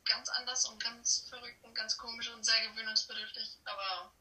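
A woman's voice: on the high side, steady tone, normal speed, sharp tone. Effusive teenage girl on an echoey call. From Germany. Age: teens. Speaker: exchange student being interviewed. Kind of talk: casual chat. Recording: echoey, distant phone or video call.

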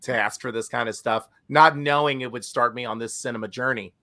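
Podcast co-host, male, raspy and recovering, bright tone, animated casual chat. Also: mid-range voice, a little fast. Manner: animated, chatty, many restarts.

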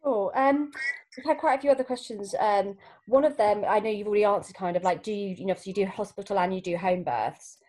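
A woman's voice: medium pitch, brisk, a little mellow, low-quality recording. A woman hosting a podcast, asking questions in a casual, chatty way. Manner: chatty, uses "like" and "um" often. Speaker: podcast host.

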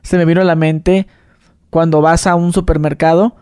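A Mexican-accented man hosting a relaxed conversation, slightly high for a man, with a dark, mellow timbre. He is joking and colloquial. The recording is dry and close. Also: normal speed, clear, resonant tone, no room sound. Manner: joking, colloquial, acts out quotes. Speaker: interviewer. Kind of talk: casual interview conversation.

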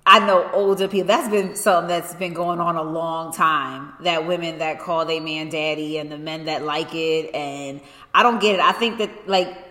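A woman co-hosting a casual podcast, speaking her mind frankly and laughing easily. Her voice sits low for a woman but sounds bright. The room gives it a long, echoey reverb. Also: steady tone, moderate pace. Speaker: podcast co-host. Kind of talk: casual chat.

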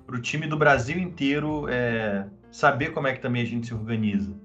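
A man's voice: medium pitch, normal speed, a bit bright, lo-fi sound, soft background music. A man hosting a podcast interview, asking a guest friendly, encouraging questions. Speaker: podcast host.

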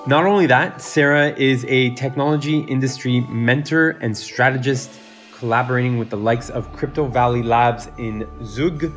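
A man hosting a podcast who reads an upbeat scripted introduction of his guest and then asks questions. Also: mid-range voice. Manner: upbeat, read-aloud introduction. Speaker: podcast host.

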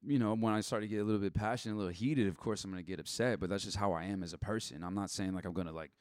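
A man's voice: on the low side, racing, clean tone, no echo, studio quality. A young man talking casually and candidly as a podcast guest, blunt and given to swearing, acting out bits of past conversations as he goes. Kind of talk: casual personal chat.

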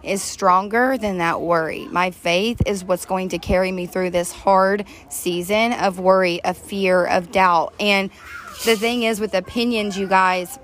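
A woman with a deep voice, co-hosting a faith-based recovery podcast, talking earnestly and heartfelt to listeners, recorded outdoors on a back deck. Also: fairly even intonation, a little slow, fairly bright tone, a little hiss or hum. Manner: earnest, heartfelt, addresses listeners as "you guys". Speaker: podcast co-host.

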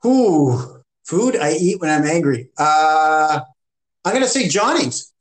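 Man, high voice, stop-start casual chat, podcast co-host. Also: sing-song. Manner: halting, many restarts, laughs easily.

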